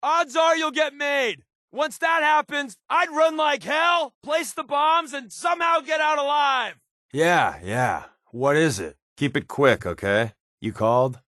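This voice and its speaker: Wry spy sidekick, lively swooping delivery. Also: male voice, a little high, dry recording, clean studio sound, exaggerated voice. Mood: urgent briefing, then casual, ready. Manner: sardonic, casual, wisecracking. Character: wry, joking male spy companion with dry swagger. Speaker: video game voice actor. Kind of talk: scripted game companion dialogue.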